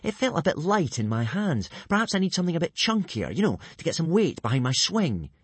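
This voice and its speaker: A man's voice: mid-range voice, normal speed, dry recording, close to an everyday voice. A man voicing an earnest, hapless young Viking boy in a children's audiobook, with a self-deprecating manner. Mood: eager. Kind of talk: children's audiobook character acting.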